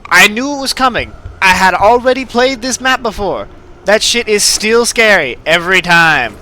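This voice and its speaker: A man's voice: sits high, expressive, normal speed, bright, forceful tone. A man giving casual, playful let's play commentary as host of a gaming video. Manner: jokey, playful. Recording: game music and gunfire behind. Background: game music, gunfire.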